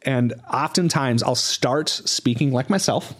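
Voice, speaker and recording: A man guesting on a podcast, chatting casually with playful, animated banter. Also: medium pitch, measured pace. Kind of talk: casual chat, joking banter.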